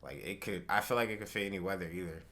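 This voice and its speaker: A young man being interviewed as a recording artist, talking in a relaxed, slangy way and thinking aloud with fillers. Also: low-pitched, very fast. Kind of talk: casual interview chat.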